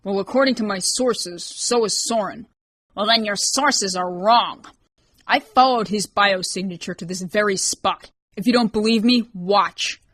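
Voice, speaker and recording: A woman's voice: medium pitch, unhurried, a bit bright, no echo. Brief: stern bossy young man, read by a woman, clipped orders, dry studio read. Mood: cool. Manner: clipped, commanding.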